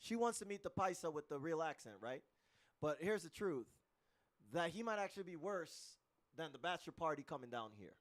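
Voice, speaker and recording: Brief: male podcast host, lively up-and-down voice, slightly high, blunt and profane. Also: fairly quick. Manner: animated, cursing, cuts in often. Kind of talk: casual podcast chat. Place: live podcast in a room.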